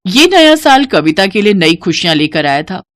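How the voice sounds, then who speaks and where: A woman's voice: medium pitch, sing-song, normal speed, a bit bright. A woman reading scripted narration for a cartoon moral story as the storyteller.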